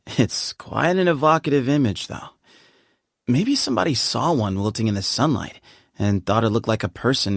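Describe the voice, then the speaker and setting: A man's voice: mid-range voice, vocal fry, no echo. An actor playing a laid-back, playful young man who leads a desert temple, recorded in a studio for game voice lines. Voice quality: lively, breezy, chuckling. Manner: easygoing, friendly, teasing. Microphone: studio mic.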